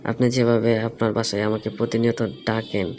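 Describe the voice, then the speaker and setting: A man's voice: fairly low pitch, completely flat delivery, fast talker, darkish tone. A man in a casual phone conversation, asking probing questions and explaining himself at length. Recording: phone call recording with faint noise.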